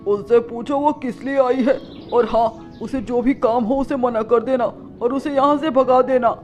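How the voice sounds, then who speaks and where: A man's voice: very high voice, fairly even intonation, unhurried, faint music behind. A man voicing a cruel, bossy male crow in a cartoon, curt and commanding, on a dull recording. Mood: dismissive.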